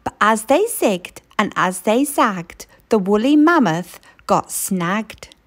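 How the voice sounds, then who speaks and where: A woman reading a children's picture book aloud in an expressive storytelling way. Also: mid-range voice. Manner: expressive storytelling, slow and lilting.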